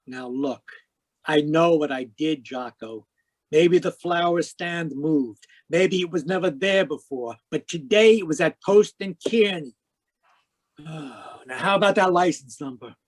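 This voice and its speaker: An actor playing a world-weary, wisecracking private detective in 1940s radio noir style. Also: male voice, on the high side, measured pace, no room sound. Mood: wry, brooding. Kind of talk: 1940s radio noir acting, first-person narration. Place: home room, live video call performance.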